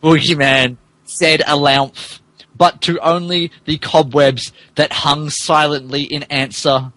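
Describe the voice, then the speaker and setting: A man's voice: fairly high pitch, little pitch movement, slow, bright, forceful tone, dry recording, poor audio. A man hosting and narrating, moving between casual chat and mock dramatic reading, amused and stumbling over garbled text. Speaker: podcast host and narrator.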